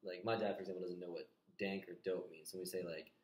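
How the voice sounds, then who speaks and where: A young man interviewing a friend in a small bedroom, asking curious, quick follow-up questions. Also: low-pitched, steady tone, darkish tone. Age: young adult. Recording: echoey room.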